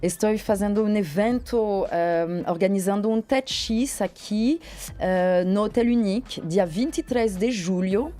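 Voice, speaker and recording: A woman's voice: mid-range voice, unhurried, dark tone. A woman from France with a French accent, a guest on a radio morning show, speaking reflectively with frequent hesitations. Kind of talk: radio interview.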